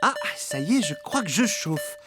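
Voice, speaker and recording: Male farmhand, children's cartoon: animated, eager and boastful, over music. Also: high-pitched, moderate pace. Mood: excited, boastful. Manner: excitable, boastful. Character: eager, excitable male farmhand hunting for treasure. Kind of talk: French-dubbed children's cartoon acting. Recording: music behind the voice.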